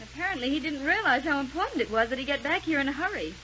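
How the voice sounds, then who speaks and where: A cautious, capable space adventurer in an old radio serial. Her voice is high and earnest. The 1930s recording sounds dull with faint noise. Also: expressive, rapid-fire, sharp tone. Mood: worried. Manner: questioning, earnest.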